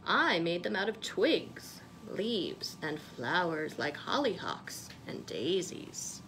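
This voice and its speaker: A woman's voice: on the low side, sing-song, takes it slowly, background noise, heavily acted. A woman voicing a storybook grandmother who proudly and emphatically recalls her simple childhood. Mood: nostalgic.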